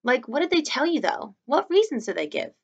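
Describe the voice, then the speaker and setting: Storybook schoolboy, played by a woman reader: earnest, insistent questioner, raised pitch, slightly muffled recording. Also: very animated, brisk, husky, heavily acted. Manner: insistent, questioning, informal kid phrasing.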